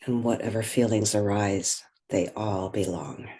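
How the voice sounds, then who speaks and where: A woman leading a guided meditation in gentle, unhurried phrases with pauses. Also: very deep voice, steady tone.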